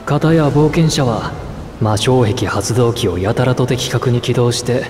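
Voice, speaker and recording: A man's voice: mid-range voice, unhurried, a little mellow, reverberant, big-room sound, faint music behind, natural voice. A man voicing a laconic, dry-toned narrator. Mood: reflective. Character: calm, laconic male adventurer recounting the past. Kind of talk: reflective game narration.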